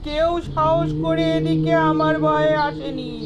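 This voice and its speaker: A woman voicing a vengeful female ghost haunting a well in a horror cartoon, with a threatening, drawn-out delivery. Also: high voice, little pitch movement, vocal fry, dull audio, crowd noise. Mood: menacing. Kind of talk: cartoon horror story acting. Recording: loud music over the voice.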